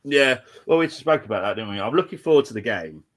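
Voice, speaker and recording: A man's voice: mid-range voice, animated, fast, a bit bright. A man hosting a casual live football podcast, bunged up with a cold and chatting in a blunt, opinionated, jokey grumbling way. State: congested with a cold. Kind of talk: casual live-stream chat.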